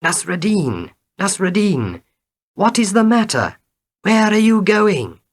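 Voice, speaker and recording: Guy doing a scared village man from a storybook, voice goes higher, very animated. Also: takes it slowly, heavily acted. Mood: alarmed shouting. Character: frightened male villager calling out to friend.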